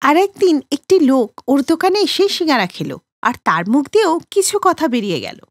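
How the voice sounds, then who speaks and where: A woman's voice: sits high, very animated, fast, dry recording, clean studio sound. A woman narrating a scripted cartoon story as its storyteller.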